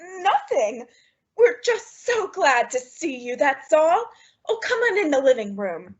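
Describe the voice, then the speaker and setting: A woman's voice: high voice, big pitch swings, a little slow. A woman playing an emotional, doting housewife in a theatrical, old-time radio comedy style. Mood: sweetly fussing. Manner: theatrical, melodramatic, effusive. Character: emotional, dramatic, doting young-ish housewife. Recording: dull, lo-fi audio drama recording.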